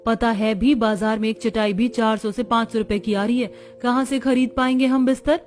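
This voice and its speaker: A woman's voice: a little high, fairly even intonation, normal speed, a little mellow, soft background music, close to an everyday voice. A woman voicing a poor, practical and resourceful young village wife in a cartoon story. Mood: worried.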